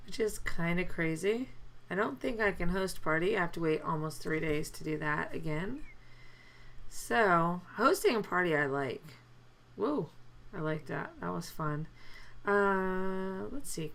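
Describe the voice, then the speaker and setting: Gravelly-voiced woman narrating a mobile game. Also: lowish voice, a bit bright. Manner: chatty, mildly complaining, lots of fillers. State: deepened voice from a chest cold. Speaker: let's play gamer. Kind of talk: casual gameplay commentary.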